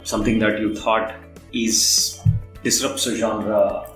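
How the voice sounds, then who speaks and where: A man's voice: on the low side, flat delivery, takes it slowly, fairly bright tone. Indian male interviewer in an echoey room. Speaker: podcast interviewer. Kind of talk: conversational interviewing. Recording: roomy echo, faint guitar music underneath.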